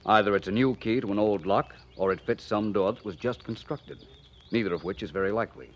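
Actor as suave, low-voiced 1940s radio detective. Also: male voice, brisk, close to an everyday voice. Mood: breezy, knowing. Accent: American. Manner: urbane, coolly probing, theatrical. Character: suave, inquisitive gentleman amateur detective. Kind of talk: 1940s radio drama acting. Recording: muffled 1940s radio recording.

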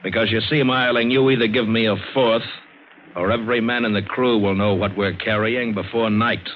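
Man playing tough wisecracking sailor, American, low pitch, wry, dramatic radio narration, crackly thin recording. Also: steady tone, normal speed, lightly acted. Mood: hard bargaining. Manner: hard-boiled, wry, dramatic. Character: tough, wary, wisecracking seaman and adventurer telling his tale. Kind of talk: 1950s radio drama acting with first-person narration. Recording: scratchy, hissy narrowband old radio recording.

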